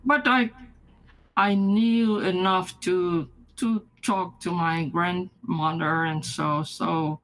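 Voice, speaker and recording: An older woman from Brazil whose first language is Japanese speaks in an unhurried interview. Her voice sits fairly low and she takes her time. She halts, restarts phrases and laughs softly as she recalls her early years. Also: fairly even intonation, low-quality recording. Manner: halting, restarts often, soft laughs. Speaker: interviewee, retired mathematics professor. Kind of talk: recorded life-story interview.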